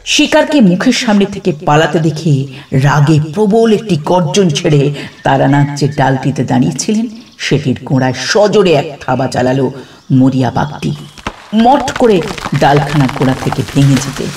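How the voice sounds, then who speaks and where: A man narrating a horror story dramatically, building suspense with pauses between short phrases. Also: fairly high pitch, big pitch swings, recorded from a distance, background noise.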